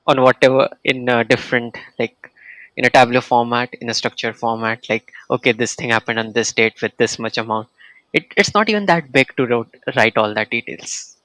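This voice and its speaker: A man's voice: mid-range voice, a bit bright, no echo, low-quality recording. Casual male gamer commenting as he plays. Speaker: gaming streamer. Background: game music.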